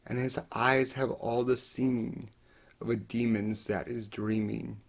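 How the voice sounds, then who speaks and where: Slow, melodramatic man reciting gothic verse. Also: medium pitch, steady tone, creaky. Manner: melodramatic, intense, swinging from hushed to shouted. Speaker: performer reciting a poem. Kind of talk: theatrical dramatic recitation of gothic horror verse. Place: carpeted basement living room with low tiled ceiling.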